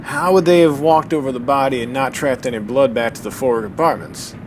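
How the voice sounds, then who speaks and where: A man voicing a calm, practical male investigator in an old-time style radio mystery drama. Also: medium pitch, brisk, lightly acted. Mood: tense. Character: steady male investigator on a train. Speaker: voice actor. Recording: noisy radio drama recording.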